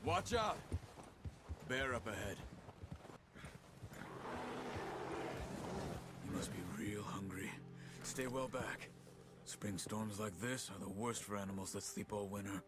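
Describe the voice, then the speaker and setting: An actor playing a calm, watchful, pragmatic outlaw hunter in a video game cutscene, speaking in a measured, matter-of-fact way. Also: male voice, lowish voice, loud music behind the voice. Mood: cautious.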